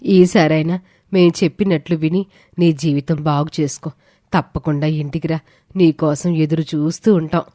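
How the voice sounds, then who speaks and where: A woman's voice: sits low, fairly even intonation, normal speed, dark tone, dry recording, studio quality, natural voice. A woman voicing an older mother in a story, scolding yet affectionate as she pleads with her son. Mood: pleading.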